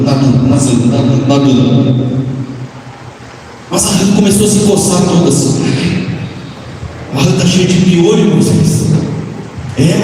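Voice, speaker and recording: A man's voice: sits high, mellow, far from the mic. A Brazilian man playing God as a chatty, slangy, repetitive storyteller in a comic church skit. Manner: repetitive, theatrical, colloquial. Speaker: church skit performer teaching a Bible lesson. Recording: echoey hall from afar, faint noise.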